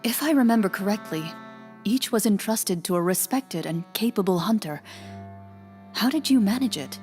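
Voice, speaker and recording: An actress playing a composed, wise woman hunter and duelist in a video game, speaking in a measured, instructive way. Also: mid-range voice, lively intonation, a little mellow, lightly acted. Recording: music behind the voice.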